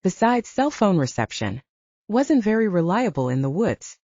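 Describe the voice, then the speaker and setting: A woman's voice: mid-range voice, big pitch swings, normal speed, warm tone, no room sound. A woman reading scripted fiction as an audiobook narrator. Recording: dull, slightly lo-fi, dry recording.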